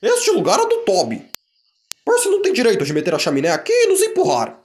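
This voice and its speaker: A man's voice: sits high, wildly swooping pitch, a little mellow. Guy doing a mischievous cartoon freight wagon, taunting, drags words out, music behind. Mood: resentful. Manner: taunting, drawn-out. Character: sullen, scheming, mischievous goods wagons.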